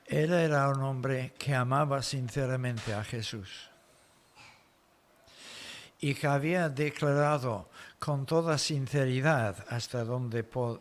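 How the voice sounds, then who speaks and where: A male preacher delivers an expository sermon with a foreign accent. His voice sits at a medium pitch and moves slowly. He tells and explains the story deliberately, phrase by phrase. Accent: foreign accent in Spanish.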